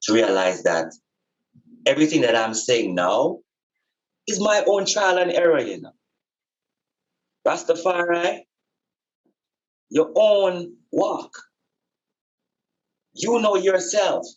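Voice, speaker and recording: A man's voice: on the high side, very animated, slow, pauses often, dull audio. A man speaking as a spiritual teacher in conversation, earnest and emphatic, repeating phrases for effect.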